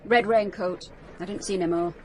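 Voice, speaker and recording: A woman plays a grudging shopkeeper in a radio crime drama. Her voice is middling in pitch, defensive and grumbling, with plain colloquial phrasing over faint noise. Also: fairly even intonation, moderate pace, a little mellow, lightly acted, low-quality recording. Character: defensive, grudging working-class shop woman.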